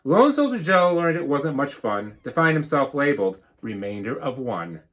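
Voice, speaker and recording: Animated man reading a storybook aloud. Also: medium pitch, moderate pace. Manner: rhythmic rhyme reading, dropping into quiet explanatory asides. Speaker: teacher reading a picture book aloud.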